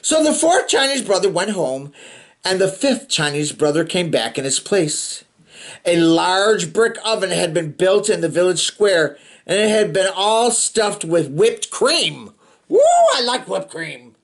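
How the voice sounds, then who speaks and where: A reader performs a picture book for children with a theatrical, playful touch. The pitch swings widely and the pace is slow. The narration mixes careful reading with informal, chatty reactions. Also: expressive. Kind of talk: expressive read-aloud with chatty asides.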